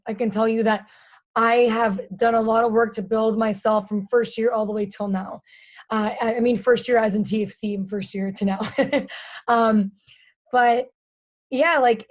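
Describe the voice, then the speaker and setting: A young woman with a raspy, fairly high voice talks through a relaxed podcast interview. She chats openly and candidly, stringing her thoughts together with plenty of "like"s. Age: 20s. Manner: chatty and candid, fast run-on sentences full of "like". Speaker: guest, stadium and social media host.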